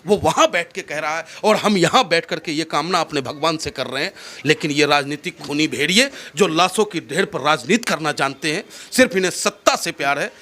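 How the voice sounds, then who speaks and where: A man's voice: fairly high pitch, fairly quick, bright, forceful tone. A man from India with a North Indian accent, speaking in an impassioned, oratorical way as a political interviewee, emphatic and repeating phrases for effect.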